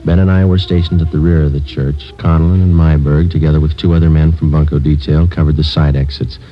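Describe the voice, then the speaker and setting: An actor playing a terse, matter-of-fact police sergeant who narrates a case in a 1950s radio drama. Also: male voice, rumbling low, brisk. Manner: clipped, flat, matter-of-fact. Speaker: detective narrator. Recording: dull old radio broadcast with music.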